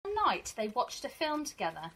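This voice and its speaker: A middle-aged woman reads a children's story aloud like a teacher, expressive and deliberate, outdoors. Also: a little high. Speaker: first school teacher reading to pupils. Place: small fenced garden, outdoors. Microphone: camera a few metres away. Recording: outdoor camera audio, faint birdsong and noise.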